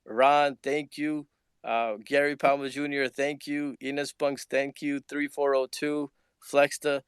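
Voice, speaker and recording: A man giving enthusiastic thanks and shout-outs in a casual online audio chat, often saying "man". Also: mid-range voice, level tone, measured pace, smooth, no room sound, clean studio sound. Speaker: Twitter Spaces speaker.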